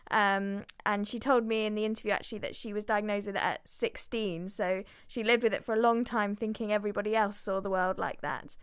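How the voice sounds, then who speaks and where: A young woman hosting a casual student radio show, chatting loosely with plenty of fillers and a relaxed, easygoing manner. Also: medium pitch, brisk, few pauses, smooth, no echo, clean studio sound. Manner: chatty, filler-heavy, laughs through mishaps.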